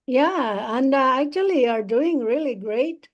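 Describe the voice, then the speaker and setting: A woman tutoring English over a video call, talking slowly and encouragingly with many fillers. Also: sits high, animated, lo-fi sound. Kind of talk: encouraging online speaking practice.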